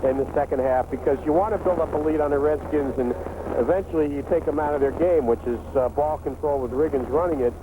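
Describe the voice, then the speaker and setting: A man's voice: a little high, quick pace, narrow, tinny audio. A man with an American accent giving analysis as a color commentator on a radio football broadcast. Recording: noisy 1980s radio sports broadcast.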